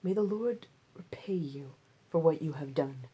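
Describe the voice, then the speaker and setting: A woman voicing a kindly, protective male landowner in a Bible story, giving measured, reassuring instructions. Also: deep voice, animated, dark tone, slightly rough, exaggerated voice.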